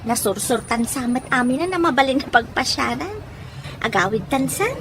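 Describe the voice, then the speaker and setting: A woman's voice: high-pitched, lively intonation, normal speed, noisy background. An actress playing an easygoing, chatty woman companion in an Ilocano radio drama. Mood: easygoing, agreeable.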